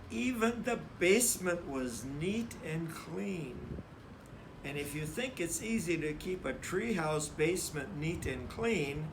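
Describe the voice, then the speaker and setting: A man reading a children's story aloud in a deliberate, emphatic storytelling manner. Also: fairly high pitch, noisy background. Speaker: storybook reader.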